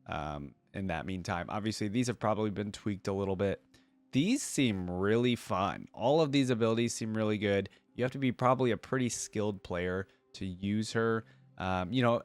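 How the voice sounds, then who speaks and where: A man's voice: lowish voice, no echo. A man hosting a reaction channel with casual, off-the-cuff commentary, informal and easily impressed.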